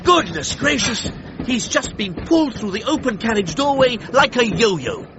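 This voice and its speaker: An actor playing an excitable 1930s British radio sports commentator, narrating with theatrical, plummy exclamations. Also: male voice, very high-pitched, moderate pace. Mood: excited. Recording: dull radio sound, noisy crowd behind.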